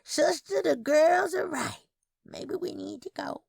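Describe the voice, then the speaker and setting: Woman voicing a high, chattery old Southern lady. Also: slow pace, gravelly, darkish tone, no echo, clean studio sound, exaggerated voice. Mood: pleading. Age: elderly. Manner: chattering, folksy. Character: chatty, fretful tiny elderly Southern twin sister. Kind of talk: audio fiction character dialogue.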